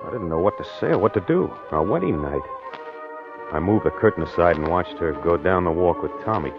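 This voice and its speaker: A low-voiced, tormented husband broods earnestly through a confessional radio drama. He is jealous and wrought up. The old recording is muffled, with music clearly behind him. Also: expressive, fairly quick. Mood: brooding, tormented. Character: jealous, tormented newlywed husband narrating his downfall.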